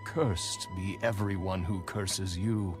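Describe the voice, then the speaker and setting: An actor playing a solemn, dying old father in a dramatised audio Bible, giving blessings in a deliberate, gravelly voice. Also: sits low, fairly even intonation. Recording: background music under the voice.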